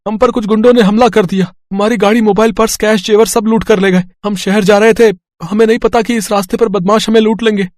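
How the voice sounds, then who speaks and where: Wealthy traveller husband, cartoon moral tale, played by a man; high-set voice, earnest, pleading, dry sound. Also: fairly even intonation, brisk, hardly any pauses, darkish tone, dry recording, natural voice. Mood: pleading, distressed. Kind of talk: dramatised moral story acting.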